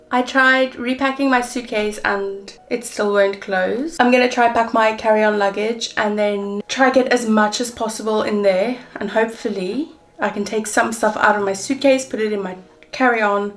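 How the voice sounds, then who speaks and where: A woman's voice: on the high side, steady tone, moderate pace, a bit bright. A woman in her twenties with a South African accent, talking candidly and chattily to camera in a personal travel vlog. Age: 20s. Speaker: travel vlogger.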